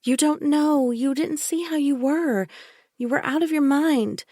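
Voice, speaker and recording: A woman's voice: high-pitched, unhurried, dark tone, no room sound, clean studio sound, pitched above the performer's own voice. A woman voicing a controlling woman caretaker who talks in a thick, sickly sweet, cooing voice. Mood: cloying.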